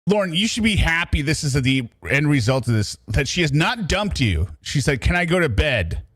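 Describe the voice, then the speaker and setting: A man hosts a casual reaction stream in a lively voice that rises and falls a lot. His commentary is chatty and teasing, with mock exasperation, and the sound is close and dry. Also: a little high, normal speed, slightly rough, no echo. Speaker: livestream host.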